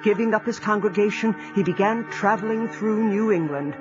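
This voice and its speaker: Male narrator, measured storytelling, music bed, lo-fi. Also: high voice. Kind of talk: scripted narration. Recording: dull lo-fi recording with music.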